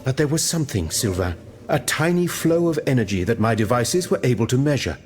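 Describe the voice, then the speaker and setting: An actor playing an earnest, thoughtful scientist of old France in a video game, speaking in a formal, reflective way. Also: male voice, mid-range voice, animated, brisk, a little mellow, natural voice. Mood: quiet doubt. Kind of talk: video game cutscene dramatic acting.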